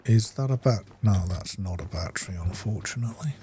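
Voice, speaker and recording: A man's voice: on the low side, a little fast, mellow, a little hiss or hum. A man giving casual gameplay commentary, unhurriedly and methodically thinking aloud as he plays. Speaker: video game streamer.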